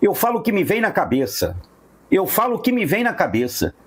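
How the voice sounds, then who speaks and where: High-pitched, husky Brazilian man ranting. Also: wildly swooping pitch, brisk. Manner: emphatic, indignant, repetitive. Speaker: football fan commentator. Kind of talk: fan rant to camera.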